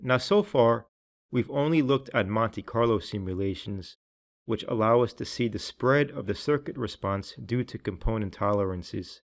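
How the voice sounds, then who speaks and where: A man reading scripted tutorial narration in a measured, instructional way, with each phrase clearly enunciated. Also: on the low side.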